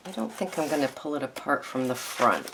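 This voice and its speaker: A hoarse woman with a froggy voice hosting a casual crafting tutorial, chatting and thinking aloud with ums as she works. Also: a bass voice, big pitch swings, brisk, background noise. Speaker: craft video host.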